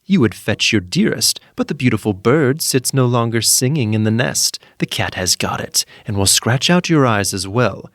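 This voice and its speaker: A man's voice: mid-range voice, expressive, normal speed, few pauses, fairly bright tone, dry recording, studio quality. A man voicing a wicked old enchantress from a storybook, angry, menacing and mocking. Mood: mocking, gloating. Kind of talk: storybook character voice.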